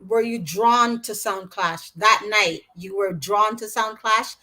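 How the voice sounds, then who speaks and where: A woman hosts the interview and moves it along with short prompting questions and quick backchannels. Her voice is bright and clear, and its pitch sits at an ordinary middle level for a woman. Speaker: interview host.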